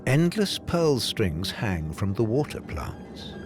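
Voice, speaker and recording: Male documentary narrator, lowish register, highly expressive storytelling delivery over a clear music bed. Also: takes it slowly. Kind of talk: scripted narration, nature and folklore documentary. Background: clear background music.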